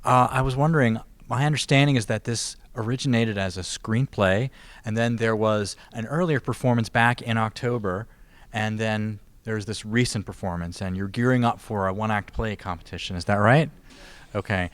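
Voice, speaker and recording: A man's voice: lowish voice, normal speed, no room sound. A man with an American accent, hosting a local TV interview and asking questions. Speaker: host interviewer.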